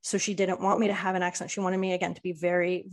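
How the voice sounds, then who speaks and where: A woman in her fifties, an author guest on a podcast, telling stories in lively casual chat. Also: fairly low pitch, quick pace. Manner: lively storyteller, acts out voices.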